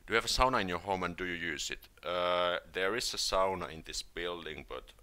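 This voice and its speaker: A Finnish man with a Finnish accent, hosting a casual live stream and answering viewer questions in a relaxed, hesitant way, with many fillers and restarts. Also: low-pitched, a little fast, clean tone. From Finland.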